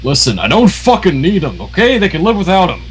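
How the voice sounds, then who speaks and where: A man voicing a gruff, weary stallion with a rough, annoyed voice. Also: sits high, huge pitch swings, brisk, a little hiss or hum. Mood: irritated.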